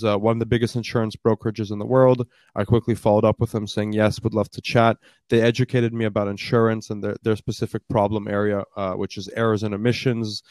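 A young man in his twenties with a deep voice, answering interview questions in a fluent, storytelling way with frequent fillers. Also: a little mellow, dry recording. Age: 20s. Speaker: startup founder guest. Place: based in Canada.

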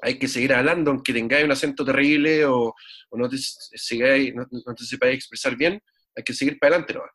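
A man's voice: medium pitch, fairly even intonation, fast, a bit bright. A man from Chile with a Chilean accent, talking casually as an interview guest, rambling and repeating words. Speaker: guest musician.

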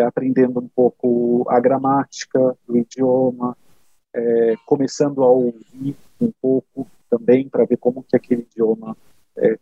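A man's voice: mid-range voice, gravelly, warm tone, slightly rough, dry recording. A Brazilian man answering interview questions in a casual, explanatory way, often tagging phrases with short checks to the listener. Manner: explanatory, many fillers like "né" and "tá". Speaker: interview guest. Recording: dull lo-fi sound.

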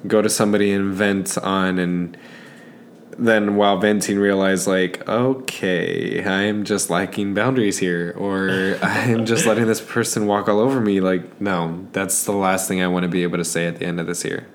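A man's voice: deep voice, steady tone, normal speed, a little hiss or hum. A man in his twenties hosting a podcast, talking casually and personally in an earnest, reflective way. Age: 20s. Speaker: podcast host.

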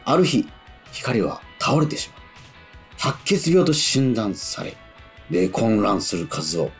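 A man's voice: mid-range voice, very animated, measured pace. A man hosting a radio talk show, chatting politely as a presenter. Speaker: radio show host and actor. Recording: music playing behind the voice.

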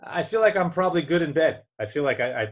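A man's voice: on the high side, expressive, quick pace. A man from New Jersey chatting casually as co-host, joking and self-deprecating, often restarting his sentences. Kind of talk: casual chat.

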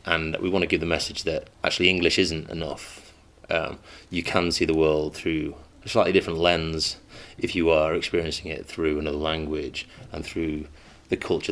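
A man with an extremely low voice speaks as a modern languages teacher. He talks in a measured, earnest way and pauses on the odd um. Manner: earnest, measured, with frequent ums. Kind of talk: interview monologue. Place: school in Manchester.

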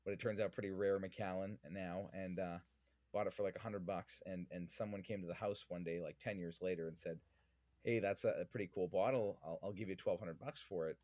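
A man's voice: low voice, steady tone, brisk, darkish tone, no echo. A man from Vancouver with a Canadian accent, answering interview questions conversationally as a podcast guest. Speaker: podcast guest, whiskey influencer.

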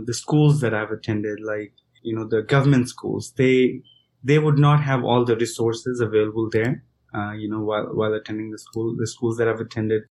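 A man's voice: on the low side, darkish tone. A man in his thirties from India, answering interview questions as a guest, hesitant with frequent restarts and fillers.

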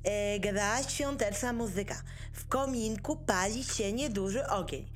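A woman's voice: medium pitch, a little slow, soft background music. A woman reading scripted narration for a radio play as its narrator.

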